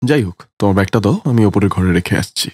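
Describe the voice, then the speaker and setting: A man's voice: fairly low pitch, fast talker, darkish tone, husky, dry recording, studio quality. A man voicing a grown son in a cartoon story, curt and resentful of his absent father. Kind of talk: cartoon story character acting.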